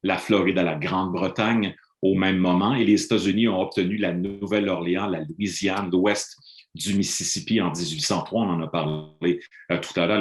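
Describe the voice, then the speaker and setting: A middle-aged man presents to an online panel, his voice low and dark. He explains in a measured, lecturing way and stops often on drawn-out "euh" hesitations. He sounds roomy, as if speaking into a laptop in an echoing room over a video call. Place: room in Montreal, remote presentation.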